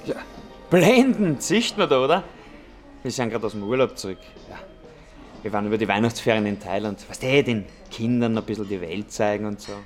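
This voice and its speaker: A man's voice: fairly low pitch, animated, fairly quick, soft background music, natural voice. A man acting as a smug, boastful grandson with an Austrian accent, breezy and needling, in a radio drama.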